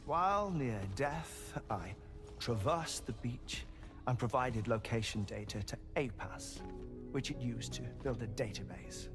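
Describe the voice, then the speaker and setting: A man's voice: medium pitch, animated, close to an everyday voice. A man playing a genial, ailing scientist in a game cutscene, formal and wordy, sometimes dropping to a whisper, with music behind him. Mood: wry, wistful. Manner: formal, wordy, measured, with soft chuckles. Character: genial, ailing, erudite male scientist resigned to heartbreak. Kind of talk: cinematic video game cutscene acting.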